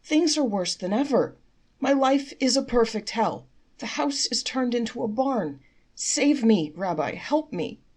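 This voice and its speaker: A woman's voice: on the high side, expressive, measured pace, darkish tone, breathy edge, voice pushed up. A woman voicing a poor, overwrought man in a storybook, with a dramatic, pleading manner. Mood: distraught, pleading. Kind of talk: storybook character voice.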